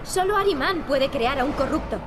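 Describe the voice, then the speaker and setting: Solemn, higher-voiced young heroine. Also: female voice, animated, a little fast, noisy background. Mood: grave. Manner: solemn, dry. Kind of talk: video game voice acting. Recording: game music behind the voice. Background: game music.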